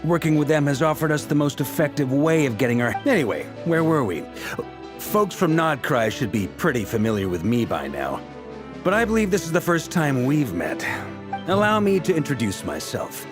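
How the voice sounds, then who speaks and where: Hearty veteran knight, game cutscene, self-deprecating, animated intonation, background music. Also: male voice, on the high side, moderate pace. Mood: easygoing. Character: easygoing seasoned knight grand master, hearty and wry. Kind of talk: video game cutscene acting.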